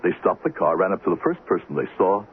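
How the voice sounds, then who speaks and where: A man's voice: low-pitched, big pitch swings, fast talker. A man announcing a radio commercial as a scripted storybook tale, with wry mock solemnity. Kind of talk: storybook-style scripted ad narration. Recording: tinny phone-like radio recording, faint noise.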